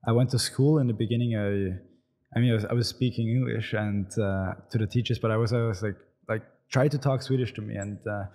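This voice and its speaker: A man in his twenties with an Austrian German accent, a pro hockey player answering interview questions in a halting way, full of fillers and restarts. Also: on the low side, steady tone, warm tone. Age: 20s. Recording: long reverb, echoey room.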